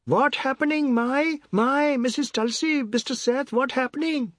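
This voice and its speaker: A man's voice: very high-pitched, a little slow, a bit bright, dry recording, studio quality, pitched above the performer's own voice. A man voicing frightened neighbours calling out urgently in creole grammar, in an audiobook story. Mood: frightened urgency. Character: alarmed, nosy crowd of neighbours calling out.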